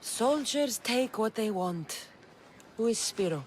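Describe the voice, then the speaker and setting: A woman's voice: on the high side, animated, takes it slowly, a little hiss or hum. An actress playing a bold, self-assured female mercenary of ancient Greece in a video game, direct and confident. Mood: wry, sympathetic. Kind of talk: dramatic video game dialogue.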